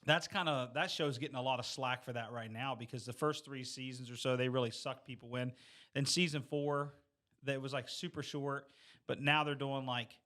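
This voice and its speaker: A middle-aged American man with a medium-pitched voice. He talks in a loose, rambling way, like a co-host in a casual group conversation. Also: fairly even intonation, normal speed, bright, forceful tone. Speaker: podcast co-host.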